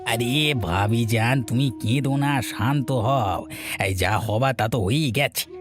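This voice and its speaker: A man's voice: medium pitch, takes it slowly, close to an everyday voice. A man voicing a sly younger brother-in-law in a cartoon, speaking in an oily drawl. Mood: feigned sorrow. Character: sly younger brother-in-law, falsely consoling, secretly gloating. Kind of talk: cartoon voice acting. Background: music.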